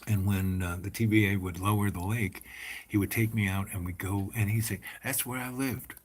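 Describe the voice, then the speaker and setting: A seventy-year-old man chatting loosely, his low voice drifting down and fading at the end of each thought. A faint background hiss sits under the recording. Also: steady tone, moderate pace. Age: 70s. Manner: rambling, trails off quietly at ends of phrases. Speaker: local man in conversation. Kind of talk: casual chat.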